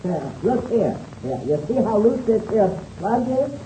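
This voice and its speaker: Mellow, stammering American mechanic. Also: male voice, high-pitched, big pitch swings, a little slow, low-quality recording. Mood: exasperated. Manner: stammering, repeats words, slangy ungrammatical speech. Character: folksy, grumbling, suspicious male airplane mechanic. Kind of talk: 1930s radio serial acting. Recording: hissy old-time radio recording.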